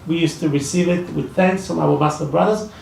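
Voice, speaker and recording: Gravelly man with an Iraqi accent, echoey hall. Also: fairly high pitch, a little mellow, a little hiss or hum. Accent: Iraqi Arabic. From Iraq. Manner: halting, many restarts and fillers. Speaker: speaker at a public discussion. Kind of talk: personal testimony at a talk. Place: library event room. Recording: echoey hall, mic from far off.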